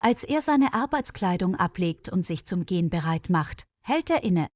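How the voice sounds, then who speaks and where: A woman reading a scripted story for language learners, articulating clearly. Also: on the low side, very animated, normal speed, darkish tone, no echo, studio quality. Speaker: narrator.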